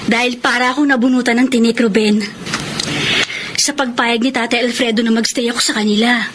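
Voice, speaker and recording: A woman's voice: fairly high pitch, steady tone, a little fast, lightly acted. An actress playing a polite, emotional young woman working as a housemaid, in a radio drama. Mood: earnest. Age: young adult. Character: young woman, hurt but hopeful housemaid. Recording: noisy radio drama recording.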